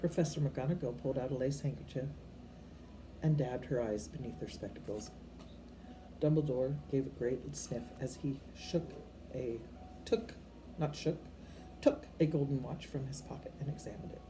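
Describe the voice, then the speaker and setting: A woman's voice: very deep voice, normal speed, a little hiss or hum, poor audio. An older woman reading a storybook aloud with expression, informal and occasionally stumbling over words.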